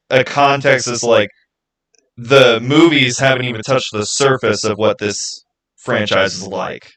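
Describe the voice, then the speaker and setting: A man hosting a livestream, talking informally and haltingly as he thinks aloud and answers his viewers. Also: medium pitch, fairly bright tone, low-quality recording. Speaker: streamer hosting a live channel Q&A. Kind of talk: casual livestream chat, answering viewer comments.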